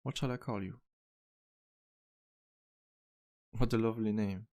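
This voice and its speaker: Male actor as flirty vampire bartender, low pitch, heavy vocal fry, sweet, teasing, courteous, intimate ASMR roleplay. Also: steady tone, warm tone, lightly acted. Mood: playful, charmed. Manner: smooth-talking, courteous, playful, unhurried pauses. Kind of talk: ASMR roleplay, intimate one-sided dialogue.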